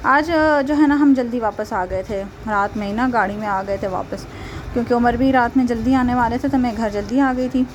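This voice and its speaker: Chatty Pakistani woman with a higher voice. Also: moderate pace, a little hiss or hum. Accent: Pakistani Urdu. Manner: chatty, laughs often, many fillers. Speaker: vlogger. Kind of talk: casual vlog voice-over.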